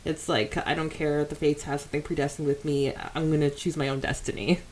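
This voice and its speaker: A woman's voice: sits low, little pitch movement, fast, a little mellow, a little hiss or hum, poor audio. A woman co-hosting a podcast in a casual, giggly, slangy way, chatting and reading out fan comments. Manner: giggly, girlish slang with frequent "like". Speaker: podcast co-host.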